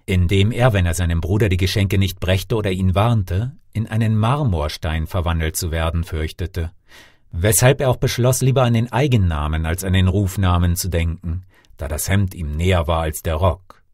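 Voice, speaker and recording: A man narrating a fairy tale as a scripted audiobook, theatrical and expressive. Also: low voice, moderate pace, dry recording, studio quality.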